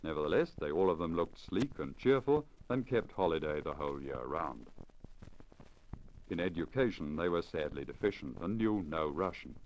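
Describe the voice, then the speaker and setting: Deep-voiced man reading, crackly old disc. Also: animated, moderate pace, darkish tone. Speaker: story reader. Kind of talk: 1950 radio story reading, scripted literary narration. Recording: crackly, dull 1950 lacquer disc recording.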